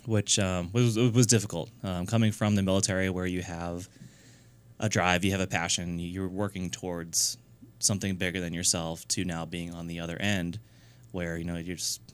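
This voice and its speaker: Low-voiced man in his thirties. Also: flat delivery, smooth. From New Hampshire, US. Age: 30s. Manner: earnest, halting, many fillers and restarts. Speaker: guest, nonprofit founder. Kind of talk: casual radio interview.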